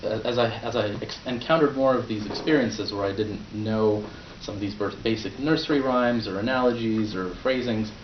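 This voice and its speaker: Polish-born young man, native Polish speaker, low voice, casual prepared speech, distant roomy dull recording. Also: moderate pace, few pauses, noisy background. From Poland. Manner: conversational, with fillers and restarts. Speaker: club speaker.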